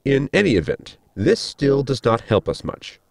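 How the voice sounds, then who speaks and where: A polite, scientifically minded crewman from a sci-fi comedy audio drama, played by a man. His formal, explanatory delivery swoops widely in pitch, with a creaky edge, in a dry close recording. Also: wildly swooping pitch, normal speed, dry recording, heavily acted. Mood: earnest, explanatory.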